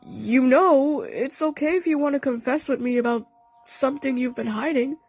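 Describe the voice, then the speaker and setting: A woman's voice: sits high, moderate pace, a little mellow, faint music behind. A woman voicing a chatty cartoon DJ cat in an audio play, casual and coaxing.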